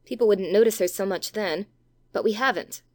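A man's voice: sits high, a little fast, no room sound, pitched above the performer's own voice. Man voicing a practical, worried storybook child.

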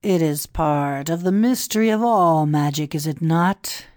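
A woman voicing a pompous, scheming male Egyptian priest in an audiobook, speaking in a grand, confiding, measured manner. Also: low voice, darkish tone, no echo, clean studio sound. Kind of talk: audiobook dialogue reading.

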